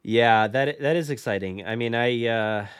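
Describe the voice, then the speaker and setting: A man's voice: medium pitch, normal speed, clear, resonant tone, dry recording. Casual, rambling male podcast host, dry close sound. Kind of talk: casual conversational podcast chat.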